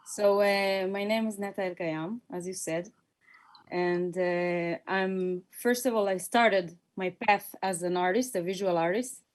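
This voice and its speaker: A woman's voice: mid-range voice, unhurried. A woman from Israel with an Israeli accent and a native Hebrew background, introducing herself as a guest musician in a thoughtful, hesitant way with many fillers. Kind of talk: conversational self-introduction.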